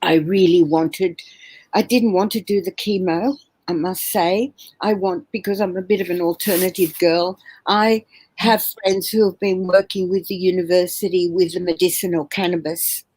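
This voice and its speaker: A woman in her seventies telling her personal story as an interview guest on a video call from home, earnest and halting with many fillers and restarts. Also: fairly low pitch, fairly even intonation, a little mellow, husky. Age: 70s. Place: home room.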